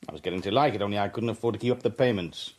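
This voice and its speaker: An actor playing a sardonic young British spy in a radio drama. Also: male voice, on the low side, rapid-fire, a little mellow, a little hiss or hum, close to an everyday voice. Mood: wry.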